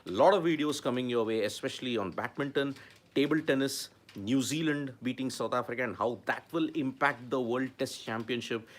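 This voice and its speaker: A man's voice: medium pitch, brisk. A man with an Indian English accent presenting a sports news roundup, stressing key words and repeating them for emphasis.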